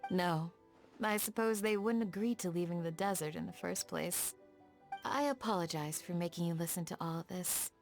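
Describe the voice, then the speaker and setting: A woman voicing a gentle, dutiful young desert village guardian in a video game, speaking in a soft, measured, courteous way. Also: fairly low pitch, vocal fry, faint music behind. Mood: warm, reflective. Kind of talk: scripted game dialogue, storytelling.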